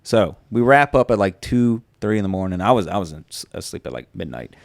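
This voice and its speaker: Guy hosting a podcast, talks real fast, lowish voice, chatty storyteller. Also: gravelly, no room sound, studio quality. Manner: animated storytelling with fillers. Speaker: podcast host. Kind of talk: casual chat.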